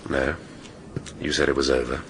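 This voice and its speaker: An actor playing a probing, wry police inspector in a radio drama, questioning tersely. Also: male voice, rumbling low, level tone, normal speed, slight background noise. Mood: suspicious. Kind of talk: naturalistic British radio drama acting.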